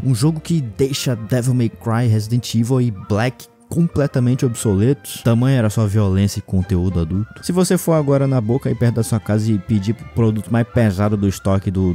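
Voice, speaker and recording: Animated, mellow young Brazilian man narrating. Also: mid-range voice. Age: young adult. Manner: slangy, sweary, sarcastic. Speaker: gaming video creator. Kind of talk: comedic scripted narration. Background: background music.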